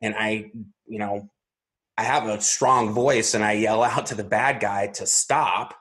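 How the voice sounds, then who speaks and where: Man, 40s, from Arizona, lowish voice; calm, methodical guest telling his story. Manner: measured, methodical, step-by-step telling. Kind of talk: recounting a personal story.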